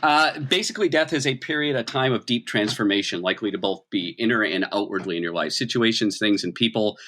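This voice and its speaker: A man's voice: on the low side, fast, pushed and bright. A man working as game master, improvising narration in a conversational, dramatic storytelling manner.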